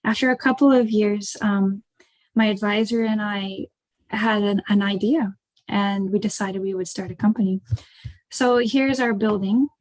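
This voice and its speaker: A woman's voice: medium pitch, steady tone, measured pace, a little mellow, no echo, low-quality recording. An American woman giving an informal, conversational webinar talk as a startup co-founder over a video call. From rural Ohio, United States. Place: indoors, online video call.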